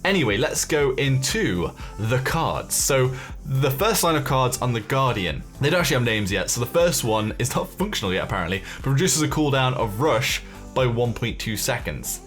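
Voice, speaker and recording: A man with a medium-pitched voice hosts a gaming video over background music. He explains details casually, musing and speculating as he goes. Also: a bit bright. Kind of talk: casual game commentary, talking through details. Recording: music behind the voice.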